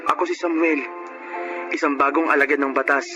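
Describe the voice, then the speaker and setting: A man's voice: on the high side, little pitch movement, brisk, muffled recording, lightly acted. An actor playing an earnest, dutiful young son and new policeman in a Filipino radio drama, with a Filipino accent and emotional delivery. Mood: reflective. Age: young adult. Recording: music bed under radio drama dialogue.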